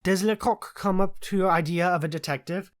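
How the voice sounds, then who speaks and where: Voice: medium pitch, brisk, voice pushed up, lightly acted. A narrator voicing a sceptical former army doctor in an audiobook, asking politely but doubtfully. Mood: curious. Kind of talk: audiobook dialogue in classic detective story.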